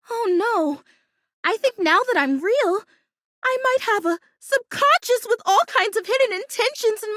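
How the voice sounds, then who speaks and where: A woman voicing an excitable, sweetly earnest female houseplant come to life in a video game, with a gushing, girlish, expressive delivery. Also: high-pitched, dry recording, clean studio sound. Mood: chirpy, musing. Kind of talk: video game character voice acting.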